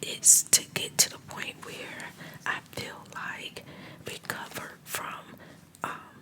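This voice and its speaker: A woman's voice: takes it slowly, bright, forceful tone. Whispering woman, ASMR storytime. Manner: fully unvoiced whisper, rambling and chatty. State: getting over a cold. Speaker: ASMR channel host.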